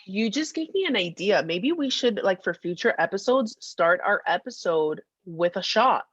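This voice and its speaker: A woman with a mid-pitched voice banters casually as a podcast co-host. She is playful and teasing and likes to repeat a phrase for emphasis. The recording is close and dry, a little dull in tone. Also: expressive, moderate pace, breathy edge, no room sound. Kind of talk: casual comedic chat.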